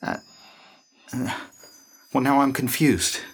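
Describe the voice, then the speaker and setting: An actor in an audio drama playing an earnest young man in his twenties, easily flustered and halting. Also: mid-range voice, creaky, slight background noise. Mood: anxious, tender. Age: 20s. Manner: halting, excitable.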